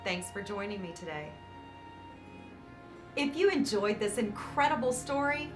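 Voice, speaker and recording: A woman reading a picture book aloud to children as host, with dramatic emphasis and warm, encouraging asides. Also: on the high side. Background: background music.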